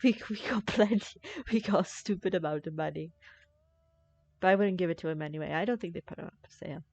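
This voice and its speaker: A woman's voice: on the low side, fast talker, darkish tone, dull audio. A woman giving casual, jokey live commentary as she plays a game, reacting aloud to it. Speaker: game streamer.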